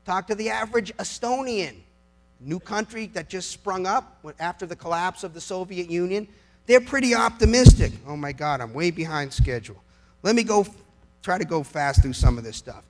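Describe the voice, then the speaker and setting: A middle-aged American man delivering an impassioned political speech to a live audience, emphatic, with rhetorical questions and dramatic pauses. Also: sits high, animated, darkish tone. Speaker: lawyer giving a public speech.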